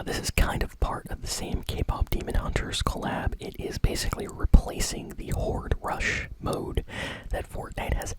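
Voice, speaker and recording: A man whispering a gaming news roundup as an ASMR host, with frequent fillers and repeated words. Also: low-quality recording.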